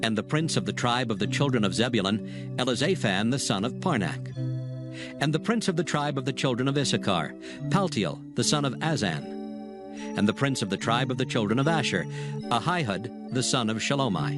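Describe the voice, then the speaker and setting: A man narrating a dramatized scripture reading in a measured, stately way. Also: mid-range voice, lively intonation. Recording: studio voice over music bed.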